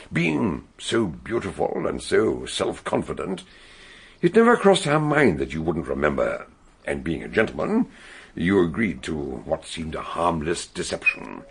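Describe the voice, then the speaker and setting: An eccentric, shrewd British detective in a radio drama. The voice is low, gravelly and rough, rolling from musing to emphatic with theatrical, knowing deliberation. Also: male voice, full character voice.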